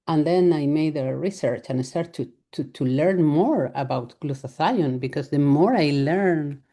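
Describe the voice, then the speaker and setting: Spanish lady vet giving a talk in english, low warm voice, lots of uhs and restarts. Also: unhurried. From Spain. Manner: hesitant, many fillers and restarts, laughs lightly. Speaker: veterinarian giving a lecture. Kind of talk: live lecture.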